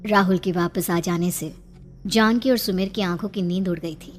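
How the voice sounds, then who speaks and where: Low-pitched woman narrating a story. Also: fairly even intonation, normal speed, faint music behind. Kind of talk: scripted horror story narration.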